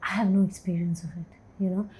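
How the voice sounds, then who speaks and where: Thoughtful, mellow-voiced woman in her forties. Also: fairly even intonation, fast. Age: 40s. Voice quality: low voice, self-described as bass. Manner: thoughtful, hesitant, laughs easily. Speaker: actor and writer, interview guest. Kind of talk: candid interview conversation.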